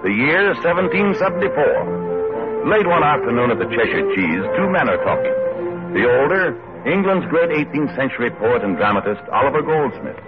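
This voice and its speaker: Male announcer, grand 1940s radio drama narration, animated delivery over prominent music. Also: medium pitch, normal speed. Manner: solemn, declamatory. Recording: muffled vintage radio recording, music behind.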